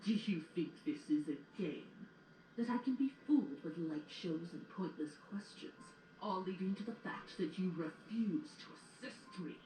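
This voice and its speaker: A man's voice: high-pitched, animated, moderate pace, warm tone, soft background music. A man playing a cold, impatient sorcerer pony villain in an audio drama, formal and imperious. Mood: irritated. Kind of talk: fan audio drama acting. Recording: echoey, distant, noisy playback.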